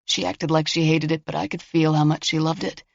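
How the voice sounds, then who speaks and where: A woman's voice: deep voice, little pitch movement, fairly quick, gravelly, dry recording. Woman doing a creepy giggling killer guy, mocking and singsongy, dull lo-fi sound, dry. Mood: menacing. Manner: mocking, sing-song menace turning to hushed threats. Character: taunting male killer, giggling and unhinged.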